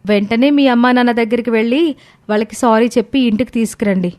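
A woman's voice: a little high, quick pace, darkish tone, natural voice. A woman playing a shrewd, bossy young daughter-in-law in a family moral story, nagging and commanding. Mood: bossy, urging.

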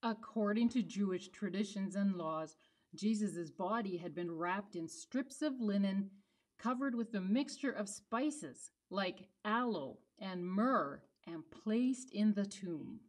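Puppet show role, played by a woman: youngest male disciple, gentle and hesitant, mid pitch, unhurried storytelling. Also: lively intonation. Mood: explanatory.

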